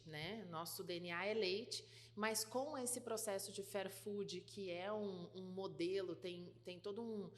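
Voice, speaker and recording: A woman from southern Brazil with a light gaúcho accent, giving interview answers about her work and pausing often with fillers and restarts. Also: medium pitch, big-room sound. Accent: light gaúcho Brazilian Portuguese. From Rio Grande do Sul, Brazil. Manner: thoughtful, many fillers and restarts. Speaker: guest, food certification business partner.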